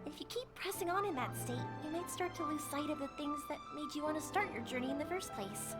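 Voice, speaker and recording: A woman voicing a warm-hearted, chatty young fireworks maker in a video game. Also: sits high, fast talker, loud music behind the voice. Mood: gentle, reassuring. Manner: chatty, friendly, expressive.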